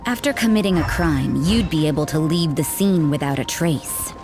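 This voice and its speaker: A cool, sardonic nun from a video game, with a low female voice. She is aloof and watchful, dryly teasing, with faint music behind her. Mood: cool, vigilant. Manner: dry, sardonic, unhurried teasing. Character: cynical, aloof adult nun with a vigilant streak. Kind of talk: video game character dialogue.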